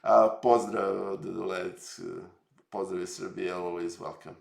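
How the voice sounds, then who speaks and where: Serbian-born male streamer, gravelly low tone, hesitant and jokey, roomy echo. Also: fairly even intonation, unhurried. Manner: rambling, hesitant, filler-heavy, jokey. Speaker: streamer host. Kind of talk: casual live stream chat.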